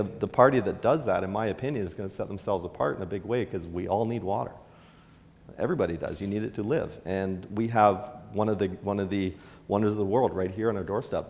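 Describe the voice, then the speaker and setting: Man with low voice in reverberant hall. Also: creaky, a little mellow. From Canada. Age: middle-aged. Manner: casual, rambling answers with restarts, gestures while standing. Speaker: town mayor. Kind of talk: town hall question and answer. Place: large indoor hall with a stage.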